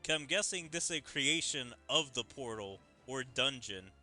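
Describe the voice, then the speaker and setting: Bright, animated man doing game commentary. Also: medium pitch, normal speed, soft background music. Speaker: gaming content creator. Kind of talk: game story commentary and dialogue read-aloud.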